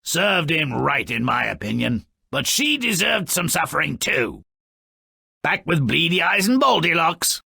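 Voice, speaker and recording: An actor playing a cynical, gleefully morbid, grumpy dwarf storyteller in a video game, with a raspy, gravelly voice and a pompous, sardonic, theatrical delivery. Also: male voice, medium pitch, bright, forceful tone, no echo, studio quality, full character voice. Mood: gleeful mockery. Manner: sardonic, pompous wordy, mock-dramatic swoops and drawn-out endings. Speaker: narrator character. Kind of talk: theatrical scripted game narration.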